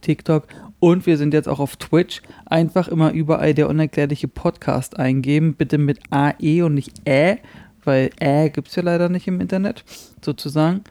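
Mellow-voiced male podcast co-host, close and dry. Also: on the high side, no echo. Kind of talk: casual banter podcast chat.

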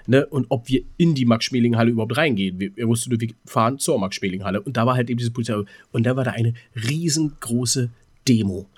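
A man tells a story as a podcast co-host. His pitch sits in the middle and the sound is close and dry. He talks casually, rambles, and re-enacts who said what. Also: quick pace, husky, no echo. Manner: rambling, re-enacts remembered dialogue. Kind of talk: casual chat, telling an anecdote.

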